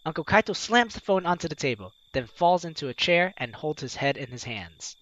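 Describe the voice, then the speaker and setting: Animated male gamer reading a story aloud. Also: on the high side, a little fast, no room sound, dull audio. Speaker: host of a video game playthrough. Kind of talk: reading game narration and dialogue aloud, with casual asides.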